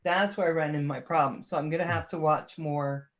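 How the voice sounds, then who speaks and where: A woman's voice: deep voice, fairly even intonation, a little fast. Woman, casual livestream talk, chatty, self-deprecating, joking.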